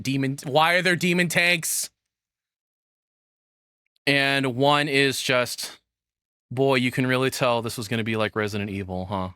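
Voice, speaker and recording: A man reacts like a streamer, chatting casually and bluntly with plenty of swearing. His voice is bright and forward, recorded up close and very dry, with no room sound around it. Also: mid-range voice, dry recording.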